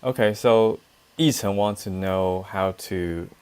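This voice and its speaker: Man, Taiwanese accent, fairly deep voice, relaxed co-host, thoughtful, casual English chat. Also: slow, clean tone.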